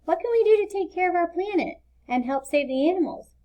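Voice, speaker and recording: A young storybook boy, as a woman reader puts him on, speaking in a high, raised voice. He is earnest and expressive, a child who cares hard about things. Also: brisk, lo-fi sound. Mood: earnest, questioning. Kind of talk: children's storybook read-aloud.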